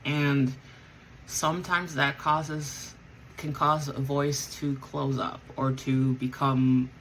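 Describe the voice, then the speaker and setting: A woman's voice: deep voice, a bit bright, a little hiss or hum, poor audio. A woman who sings in a band, chatting casually as she gets ready, rambling with lots of fillers and self-corrections. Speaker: vlogger and band singer. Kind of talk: casual chat while getting ready.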